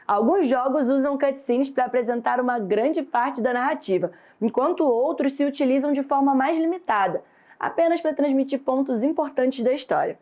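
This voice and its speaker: Brazilian female instructor, fairly high voice, measured and didactic delivery of scripted lesson narration. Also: darkish tone, dull audio. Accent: Brazilian Portuguese.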